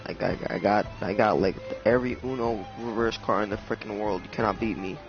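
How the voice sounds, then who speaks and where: A man's voice: fairly low pitch, steady tone, fairly quick, lo-fi sound, over music. A young man doing casual playthrough commentary full of slang and swearing, repeating himself in mock outrage. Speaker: game streamer.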